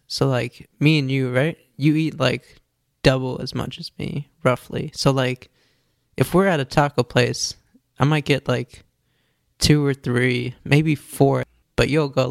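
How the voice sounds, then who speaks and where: A man's voice: mid-range voice, vocal fry, no room sound, clean studio sound. A man chatting casually as a podcast co-host, easygoing and filling his talk with "like". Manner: easygoing, filler-heavy with "like". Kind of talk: casual chat. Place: Los Angeles.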